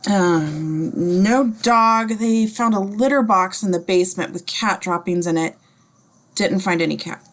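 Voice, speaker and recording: A woman reads a weary police chief in a mid-range voice. The delivery is blunt and a little halting, in the manner of a script table read. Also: medium pitch, close to an everyday voice. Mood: businesslike, weary. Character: gruff, weary big-city police chief, male. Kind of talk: screenplay table read acting.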